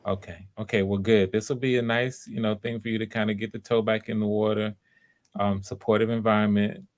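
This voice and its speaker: Encouraging male audition host, lowish voice. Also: level tone, a little fast. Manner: encouraging, hesitant repetitions. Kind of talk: casual live hosting and coaching.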